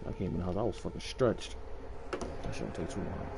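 A man's voice: deep voice, a little fast, gravelly. Slangy man streaming a game, slightly scratchy. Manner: slangy, profane, talking to viewers. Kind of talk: casual let's play commentary with slangy banter, reading dialogue aloud. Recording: game music behind the voice.

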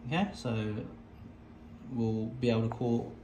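A man giving an unscripted product demo to viewers, halting and repeating himself as he goes. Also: mid-range voice. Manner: halting, repetitive, addressing viewers as guys. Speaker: product demonstrator. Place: indoor room, desk demo. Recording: echoey room with background noise.

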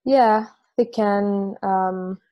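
A calm, plain-spoken football player on the run, read by a woman over a video call. Her voice is mellow and warm, sitting in a middle pitch. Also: extremely slow. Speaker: actor in a table read.

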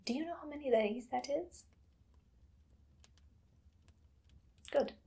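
A woman performing an ASMR roleplay as a possessive, doting vampire mistress, with breathy, theatrical, often whispered speech that coaxes and menaces. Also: high voice, brisk, warm tone, voice pushed up. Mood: sly, delighted. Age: young adult. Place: small dim indoor room.

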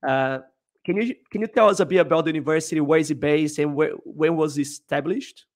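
A man's voice: fairly high pitch, a little fast. A man in his thirties hosting a futsal podcast, asking questions eagerly over a headset on a video call. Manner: enthusiastic questioner, some restarts. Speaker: podcast host.

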